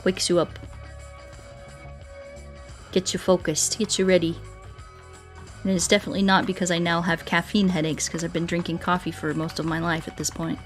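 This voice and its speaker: A woman's voice: on the low side, level tone, moderate pace, pauses often. Playful woman streamer chatting over music. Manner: playful, rambling, thinking aloud. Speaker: streamer playing Minecraft and chatting with viewers. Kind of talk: casual gaming livestream chat.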